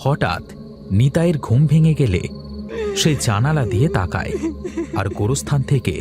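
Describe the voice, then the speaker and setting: A man's voice: medium pitch, animated, normal speed. Male horror narrator over prominent music. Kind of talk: scripted horror story narration.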